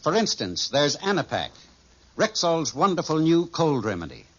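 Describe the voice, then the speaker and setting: Male radio pitchman, very animated, husky, formal scripted sell, muffled vintage recording. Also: moderate pace. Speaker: sponsor announcer for a druggist chain. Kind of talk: 1940s radio advertising read.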